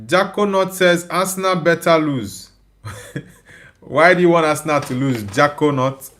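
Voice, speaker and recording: A man's voice: on the high side, measured pace, a bit bright. A tired man with a Nigerian accent whose first language is Igbo, hosting a live stream and reading viewer comments aloud in casual chat. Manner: reads viewer comments aloud and answers them. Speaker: livestream host.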